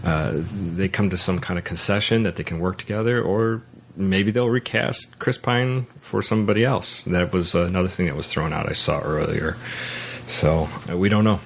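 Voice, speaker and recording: A man with a low, deep voice hosts a casual podcast about movies. His phrasing is halting and hesitant, and he often restarts words as he works through a thought. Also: creaky, a little hiss or hum. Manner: halting, hesitant phrasing with repeated word starts. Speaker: podcast host.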